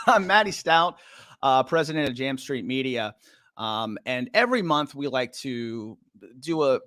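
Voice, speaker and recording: A man's voice: mid-range voice, fairly bright tone, no room sound, clean studio sound. A middle-aged man hosting a casual talk with slides, speaking loosely and chattily, with many fillers and restarts. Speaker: podcast company president and host.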